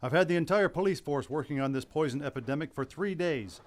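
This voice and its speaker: A man playing a troubled police commissioner in a radio play, speaking briskly and gravely. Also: a little high, voice pushed down, natural voice.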